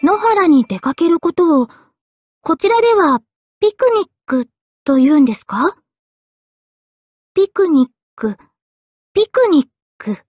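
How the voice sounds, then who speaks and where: A woman voicing a young, regal and gentle guardian spirit of a holy sword in an anime game, speaking politely and with measured poise. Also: extremely high pitch, no room sound, clean studio sound, heavily acted. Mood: soft, playful. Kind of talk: Japanese anime game voice acting. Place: studio. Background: faint background music.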